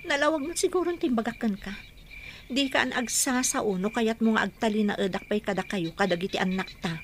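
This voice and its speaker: Woman acting guilt-torn mother, higher-pitched voice, noisy background. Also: brisk, close to an everyday voice. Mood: tense confession. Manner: pleading, tearful melodrama. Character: guilt-torn mother Melody leaving her husband and children. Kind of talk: radio drama acting.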